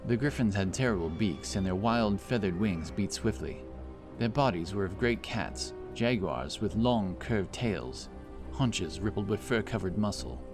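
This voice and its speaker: A man delivers scripted fantasy narration in a lowish voice. He tells the story in a dramatic storyteller's style. Music plays clearly behind the words. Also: animated, measured pace.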